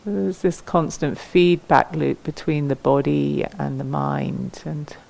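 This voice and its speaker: A woman teaches meditation in a gentle, unhurried way. Her phrases come slowly with long pauses between them, and the voice often settles into a soft creak. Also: sits low. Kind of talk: guided meditation.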